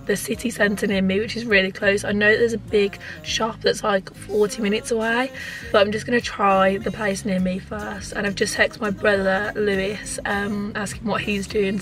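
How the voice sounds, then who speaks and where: A woman in her twenties with a Birmingham accent and a hoarse, lost voice, chatting candidly to camera in a vlog from inside a car. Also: medium pitch, flat delivery, moderate pace, fairly bright tone, faint music behind, low-quality recording. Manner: rambling, candid, frequent "like". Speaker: vlogger. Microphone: handheld camera close up.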